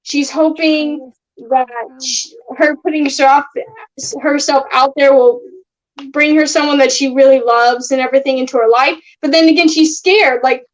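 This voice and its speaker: A woman's voice: high-pitched, steady tone, normal speed, poor audio. A young woman co-hosting a casual chat, earnest and hesitant, often restarting her sentences. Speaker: podcast co-host.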